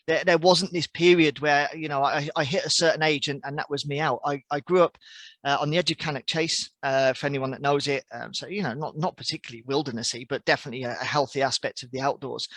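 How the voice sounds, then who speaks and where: A man's voice: on the high side, a bit bright, no room sound. Reflective English man in his forties. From English Midlands. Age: 40s. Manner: reflective, earnest, with frequent restarts and fillers. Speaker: podcast guest, outdoor coach. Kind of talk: conversational interview answers.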